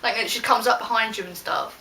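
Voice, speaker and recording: Young British female, chatty giggly storyteller, bright high voice, recorded at a distance from the mic. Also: quick pace. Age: teenager to young adult. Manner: animated, giggly, informal. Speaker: vlog host telling stories. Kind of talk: casual chat storytelling with a friend. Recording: roomy echo, distant mic, faint noise.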